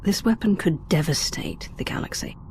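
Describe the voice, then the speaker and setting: An actress playing a grave, resolute sci-fi commander in a video game, serious and concerned. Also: female voice, on the low side, lively intonation, moderate pace, in a whisper.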